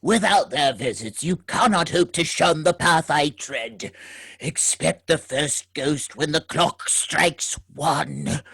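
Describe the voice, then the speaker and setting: A man's voice: fairly high pitch, slow pace, vocal fry, a bit bright, husky, full character voice. An actor playing the wisecracking ghost of an old miser in a comic radio musical, joking, punning and singing his warnings. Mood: ominous. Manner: jokey and pun-loving, breaks into song.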